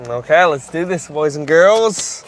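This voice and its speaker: A man's voice: fairly high pitch, animated, unhurried, a little hiss or hum. Man, chatty real estate vlogger, upbeat. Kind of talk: casual on-location vlog. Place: house being shown, indoors.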